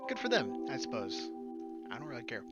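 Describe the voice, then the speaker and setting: A man's voice: medium pitch, huge pitch swings, fairly quick, loud music behind the voice. A man doing let's play commentary on a game, reading narration aloud and adding jokey, sarcastic asides. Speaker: gaming let's player.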